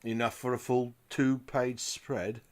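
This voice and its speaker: A man playing a gruff, excitable magazine boss in an audio drama, emphatic and blunt. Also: medium pitch, slow pace, lightly acted. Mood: excited.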